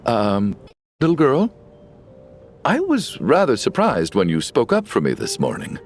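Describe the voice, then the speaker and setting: Game casting: gruff but tender middle-aged dad, slow and hesitant, faint music beneath. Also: male voice, medium pitch, wildly swooping pitch. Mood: bashful, fond. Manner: halting, hesitant, stammering. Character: awkward gruff middle-aged father with a soft heart.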